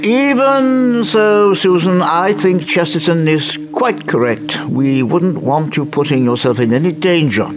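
An actor playing a firm, courtly, fussy old gentleman in a 1960s-style science fiction audio drama, speaking formally and hesitantly. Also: on the high side, expressive, measured pace, few pauses, over music. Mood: firm, cautious. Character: firm, courtly, fussy elderly man of authority.

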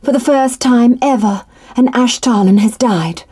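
An actress playing a grave, matter-of-fact alien in a 1960s-style science fiction audio drama, speaking tersely and solemnly. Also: female voice, fairly high pitch, measured pace, mellow, breathy edge. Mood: grave, stunned.

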